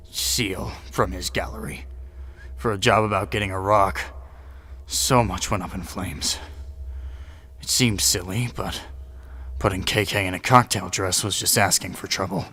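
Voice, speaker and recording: A man's voice: lowish voice, measured pace, long pauses, sharp tone, a little hiss or hum. A man playing a serious, world-weary agent, narrating his case in dry, noir-like audio drama style. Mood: dry, wry.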